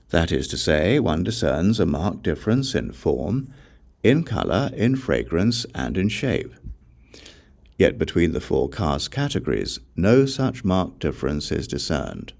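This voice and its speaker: A man reading scripture as an audiobook narrator, with measured, deliberate phrasing and pauses between short phrases. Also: low voice, vocal fry, a little mellow.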